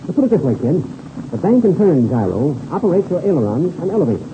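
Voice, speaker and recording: An actor playing a confident, hearty veteran pilot mentor in a 1930s radio serial, brisk, instructive and self-assured. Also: male voice, a little high, big pitch swings. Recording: dull, very noisy old radio recording.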